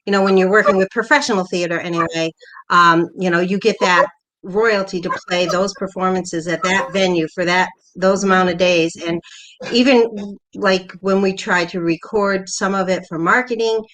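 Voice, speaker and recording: A woman's voice: mid-range voice, steady tone, normal speed, fairly bright tone, low-quality recording. A woman talking casually as a panelist in an online theater discussion, putting her points and questions conversationally. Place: online video call.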